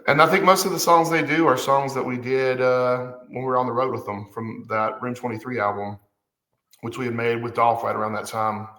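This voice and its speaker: A man's voice: mid-range voice, steady tone, brisk, bright, forceful tone. Easygoing man in his forties, echoey room. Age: 40s. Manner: easygoing, hesitant, many fillers and restarts. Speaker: guest musician. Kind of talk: casual conversation.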